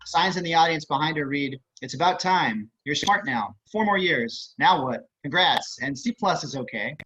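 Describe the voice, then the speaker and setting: A man's voice: a little high, expressive, normal speed, pushed and bright, breathy edge. Man reading screen directions, slightly muffled. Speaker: reader of stage directions. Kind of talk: scripted narration, reading screen directions aloud. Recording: muffled online call audio.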